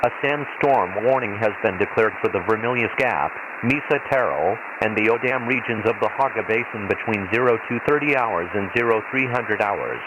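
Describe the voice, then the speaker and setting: A man playing an impersonal imperial weather announcer on a desert planet, reading a formal, measured hazard bulletin through a radio filter. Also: fairly low pitch. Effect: radio transmission filter. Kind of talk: scripted emergency weather bulletin, read like automated weather radio. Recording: hissy, noisy shortwave-style radio transmission.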